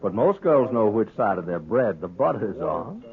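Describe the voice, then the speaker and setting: An actor playing a pushy, smooth-talking saloon gambler, insistent and needling, in a 1950s radio western. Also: male voice, on the low side, big pitch swings, moderate pace, phone-quality sound. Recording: thin vintage radio recording, saloon crowd behind.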